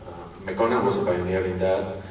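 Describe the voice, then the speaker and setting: A man making the formal introduction at a graduation, his flat delivery echoing around a big venue over faint noise. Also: low-pitched, quick pace. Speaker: university department chair introducing a graduation speaker. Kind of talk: ceremony introduction speech. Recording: echoey hall, distant mic, faint noise.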